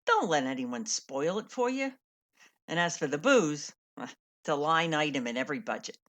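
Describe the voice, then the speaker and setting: An actress in a radio play playing a worldly, kindly stage veteran with a wry, frank, slangy manner. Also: female voice, sits low, lively intonation, normal speed, a bit bright. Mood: conspiratorial.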